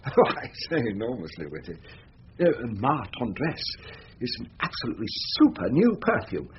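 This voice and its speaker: Radio play: pompous upper-crust English barrister, middle-aged flirt, highly animated delivery, slightly muffled sound. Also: male voice. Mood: oily flirting. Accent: upper-class English. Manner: oily, gushing, drawn-out flattery. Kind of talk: British radio comedy-drama acting. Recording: dull lo-fi radio recording, background noise.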